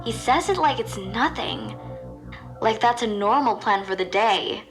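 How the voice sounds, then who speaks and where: High-voiced nervous teenage girl. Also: lively intonation, measured pace, over music. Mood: anxious. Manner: casual, hesitant teenage storytelling. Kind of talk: first-person confessional audio drama monologue.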